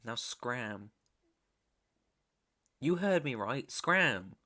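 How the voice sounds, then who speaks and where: A British man playing a menacing, teasing mob boss's son in an intimate ASMR roleplay, with a deliberate, taunting delivery. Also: medium pitch, sing-song, pushed and bright, heavily acted. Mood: cold threat. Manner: slow, deliberate, taunting, swearing. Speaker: roleplay audio performer.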